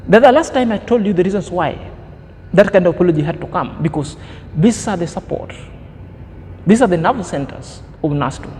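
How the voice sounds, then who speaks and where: Man, 30s, high-pitched vlogger with a preachy, emphatic delivery and lofty vocabulary, recorded in a reverberant room with a low rumble. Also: lively intonation, a little fast, darkish tone. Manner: preachy, emphatic, fond of grand words. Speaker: commentary vlogger. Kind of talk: opinion commentary monologue.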